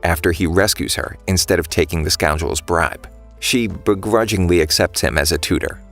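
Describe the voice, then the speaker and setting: A man narrates a scripted story recap in a notably deep voice. There is a creaky, gravelly grain to his delivery, and soft music plays faintly behind him. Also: moderate pace.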